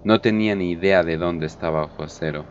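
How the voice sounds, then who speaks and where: A man's voice: low-pitched, fast talker, muffled recording, slight background noise. A man narrating a scripted war story in a dramatic audio drama style. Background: prominent background music.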